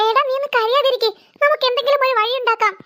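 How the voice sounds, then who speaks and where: Woman acting a caring cartoon child, very high pitch, bright, pleading, insistent. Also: fairly even intonation, fast. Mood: worried, comforting.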